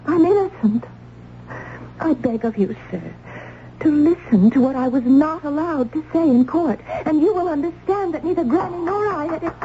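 A woman's voice: high-pitched, expressive, normal speed. An actress playing a young maid accused of witchcraft in a radio drama, earnest, pleading and proudly defiant. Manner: earnest, pleading, formal archaic speech. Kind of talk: old-time radio drama acting. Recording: dull, noisy old radio recording.

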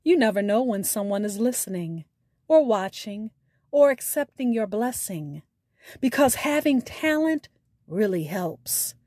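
A woman hosting a podcast, reading a scripted sign-off with deliberate, emphatic enunciation over music. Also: mid-range voice, animated, darkish tone, dry recording, studio quality. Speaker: podcast host. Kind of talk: scripted podcast outro with spoken poetry.

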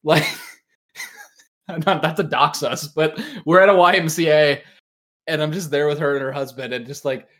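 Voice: medium pitch, steady tone, moderate pace, long pauses. A podcast host with a mid-range voice talks in a loose, casual way about anime and games. The delivery is opinionated and joking, with plenty of casual swearing.

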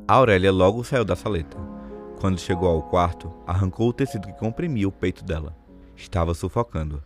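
A man's voice: sits low, brisk, music in the background. A man giving scripted literary narration for an audio drama.

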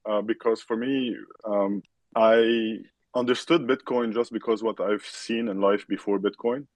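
A man from Lebanon, a guest on an online chat, speaking in a measured way with frequent restarts and fillers. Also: on the low side, fairly even intonation, a little mellow, dry recording. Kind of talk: recorded online audio chat.

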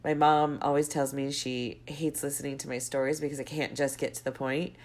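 A young woman with a low-pitched, congested voice hosts her own podcast. She talks casually and rambles, drifting into side stories before circling back to her point. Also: flat delivery, fairly quick, darkish tone. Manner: rambling, goes off on tangents. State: stuffy, congested with a cold. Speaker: podcast host. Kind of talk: casual solo podcast monologue.

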